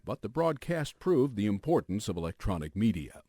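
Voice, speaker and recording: A man's voice: fairly low pitch, very animated, moderate pace, dark tone, no echo. An American man hosting a radio documentary, reading scripted narration as a news anchor would.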